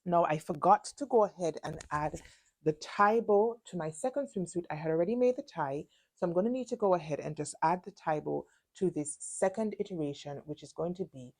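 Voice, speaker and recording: A woman's voice: fairly low pitch, fairly quick, a little mellow. A woman talking casually through her sewing project in a chatty, self-deprecating vlog. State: stuffy and congested. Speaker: sewing vlogger.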